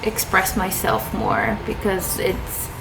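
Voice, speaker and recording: A woman's voice: medium pitch, big pitch swings, takes it slowly, vocal fry, music in the background, low-quality recording. A young woman from Chile talking hesitantly about her personal life in a vlog, with lots of fillers and restarts. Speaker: vlogger.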